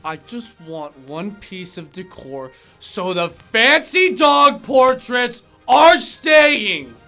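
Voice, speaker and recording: A woman's voice: on the high side, very animated, takes it slowly, pushed and bright, faint music behind, full character voice. A woman voicing a carefree, clueless bullfinch with slangy, exuberant bro-speak in a comic audio drama. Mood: pleading, loud. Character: carefree, clueless slacker bullfinch, surfer-dude talk.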